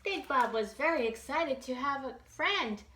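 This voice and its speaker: Slow, bright woman reading to young children. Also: high voice. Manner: animated, simple child-directed delivery. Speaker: library children's storytime reader. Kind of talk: expressive read-aloud storytelling for young children. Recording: roomy echo with background noise.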